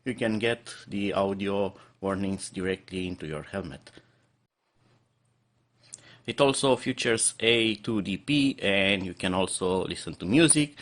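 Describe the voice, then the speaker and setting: A man's voice: low voice, measured pace, fairly bright tone. A man giving an unscripted product review, explaining features step by step with hesitant, slightly non-native phrasing.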